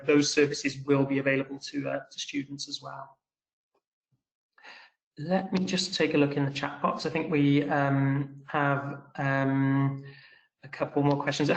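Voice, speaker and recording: Even-toned middle-aged man. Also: mid-range voice, poor audio. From Cardiff, Wales. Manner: hesitant, explanatory, reassuring. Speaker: university representative presenting to international students. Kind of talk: informal webinar question-and-answer.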